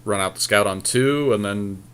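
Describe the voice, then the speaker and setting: Low-pitched man casually talking through card game. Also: moderate pace, fairly bright tone, clean tone. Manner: profane, joking; deliberating aloud, thinking through plays. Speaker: podcast co-host playing a card game draft. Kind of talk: casual game commentary between friends.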